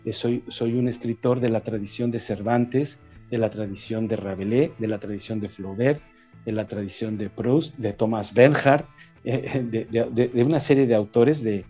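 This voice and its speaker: Mellow-voiced man with a Mexican accent. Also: on the low side, soft background music. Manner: reflective, halting, with frequent restarts. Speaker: writer being interviewed. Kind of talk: conversational interview.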